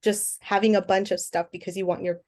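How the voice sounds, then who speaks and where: A woman in her twenties from the United States answers as a podcast guest at a middle pitch. Her tone is relaxed and matter-of-fact. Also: brisk. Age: 20s. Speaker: guest, fashion content creator. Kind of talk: casual interview answers.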